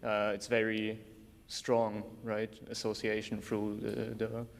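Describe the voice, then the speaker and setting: A man's voice: fairly low pitch, monotone, slow pace, vocal fry. A man with a German accent and German as his mother tongue, asking a presenter questions from the audience, hesitating and searching for words. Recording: long hall reverb, faint background noise.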